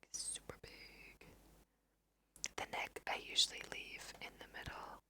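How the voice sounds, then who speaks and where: A woman whispering chatty ASMR game commentary, thinking aloud in a breathy, self-amused way into a close microphone. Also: measured pace. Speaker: host of a gaming let's play.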